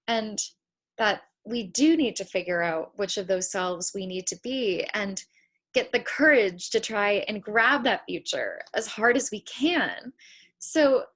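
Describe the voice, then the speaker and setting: A woman's voice: medium pitch, fairly bright tone, exaggerated voice. An actress playing a dreamy, hesitant young woman who rambles reflectively in a naturalistic play reading. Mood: musing, hopeful. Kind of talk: naturalistic stage drama read over video call.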